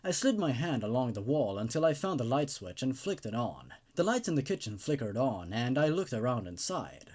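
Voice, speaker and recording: A man's voice: medium pitch, big pitch swings, moderate pace, few pauses, darkish tone. A man hosting a horror story show, moving between casual commentary and reading stories aloud. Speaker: host and reader of an online horror story show.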